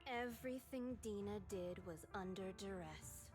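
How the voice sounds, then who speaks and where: An actress playing a resolute, self-sacrificing female retainer in a dramatic video game, speaking in a solemn, measured way over music. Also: mid-range voice, natural voice.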